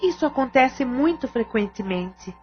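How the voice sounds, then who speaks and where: Actress as sensible high-voiced Brazilian aunt, Leda. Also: female voice, animated, measured pace, highs cut off, faint music behind. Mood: calm, reasoning. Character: Leda, sensible, composed sister-in-law and aunt. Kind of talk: audio-novel acting, formal scripted dialogue.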